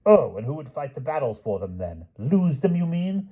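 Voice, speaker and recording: Man doing a furious, shouting old king. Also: on the high side, very animated, moderate pace, breathy edge, highs cut off, voice pushed up. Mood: irate. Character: touchy, quarrelsome older king.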